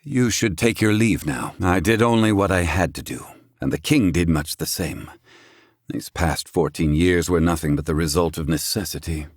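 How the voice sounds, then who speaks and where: A low, dry-recorded male voice for a brilliant, proud inventor in a fantasy video game. He is middle-aged and gruff. His diction is formal and clipped, with a haughty theatrical weight. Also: moderate pace, no echo. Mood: brusque, impatient, then resolute, brooding. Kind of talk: dramatic video game voice acting.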